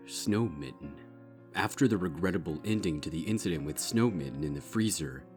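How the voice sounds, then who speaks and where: A man reading dark fiction as a scripted narrator, measured and with dramatic pauses. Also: extremely low pitch. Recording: music behind the voice.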